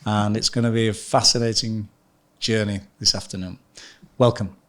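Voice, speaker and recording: A British man hosting a business podcast, introducing his guest upbeat and asking halting questions. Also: fairly low pitch, flat delivery, fairly bright tone. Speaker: podcast host. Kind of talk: interview hosting.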